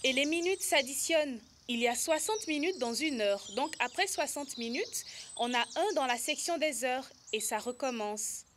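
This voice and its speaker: A woman's voice: sits high, animated, moderate pace, background noise. A woman voicing an eager, helpful youngster who explains things in an educational cartoon. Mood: patient, instructive. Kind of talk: educational cartoon dub acting.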